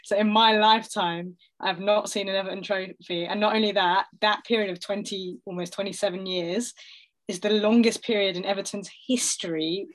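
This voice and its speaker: A woman in her thirties with a London accent, chatting casually as an interview guest, self-deprecating and quick to laugh. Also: mid-range voice, fairly even intonation, poor audio. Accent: London English. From North London, England.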